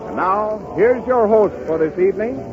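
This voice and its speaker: A man's voice: high voice, expressive, moderate pace. An American man announcing formally on a 1930s radio show, in a muffled old broadcast recording. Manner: polite, formal. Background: music.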